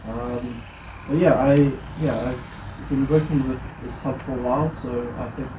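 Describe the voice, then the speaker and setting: Relaxed man from New Zealand. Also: medium pitch, measured pace. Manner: relaxed, with many fillers. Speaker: community hub worker. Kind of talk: self-introduction. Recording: echoey, noisy hall.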